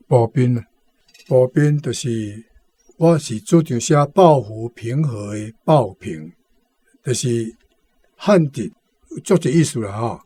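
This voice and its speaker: A Taiwanese-accented man giving lesson-like commentary as a guest, deliberately repeating phrases to explain them. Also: medium pitch, darkish tone, no room sound. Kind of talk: explaining wording of a story, lesson-like talk.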